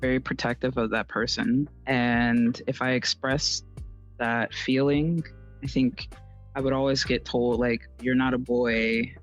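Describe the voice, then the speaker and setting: A man speaking casually and haltingly as a podcast co-host, feeling out thoughts as they come. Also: medium pitch, level tone, faint music behind. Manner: hesitant, halting delivery. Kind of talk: casual personal conversation. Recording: slightly dull lo-fi sound.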